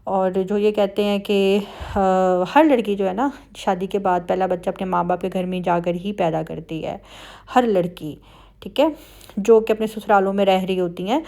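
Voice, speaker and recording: A woman's voice: mid-range voice, normal speed, vocal fry, warm tone. A woman with a Pakistani Punjabi accent talking in a chatty, conversational way, as in a casual family vlog voice-over. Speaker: family vlogger.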